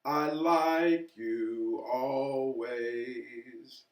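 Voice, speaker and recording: A man playing a devoted grown son in a storybook, singing a tender lullaby in drawn-out, singsong phrases. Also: medium pitch, fairly even intonation. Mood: tender, loving. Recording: echoey room, distant from mic.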